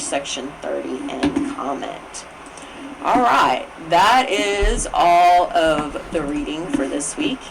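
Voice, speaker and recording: A woman reading educational material aloud as a presenter, in deliberate, enunciated short phrases. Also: lowish voice, background noise.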